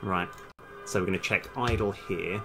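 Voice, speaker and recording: A man with a low voice talks himself through code on a casual livestream. He mutters terms in fragments as he works, close to a desk microphone. Clear music plays behind him along with keyboard typing. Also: fairly even intonation, moderate pace. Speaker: game developer live-streaming his coding. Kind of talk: casual coding livestream, thinking aloud. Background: background music, computer keyboard typing.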